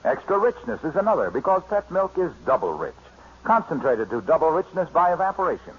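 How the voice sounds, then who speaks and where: A man's voice: on the high side, very animated, a little fast, husky. A man with an American accent reading scripted commercial announcements as a 1950s radio announcer. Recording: muffled 1950s radio recording.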